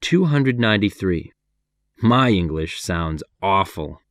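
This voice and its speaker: American male reader for ESL drills, low voice, lively delivery, dry close mic. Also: no echo. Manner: clear, measured reading. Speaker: English lesson reader. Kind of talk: numbered sentence reading for repetition practice.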